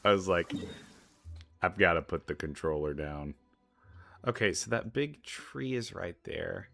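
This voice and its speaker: A man's voice: low-pitched, measured pace, vocal fry, a bit bright, faint music behind. A man giving casual, rambling let's play commentary in an informal way.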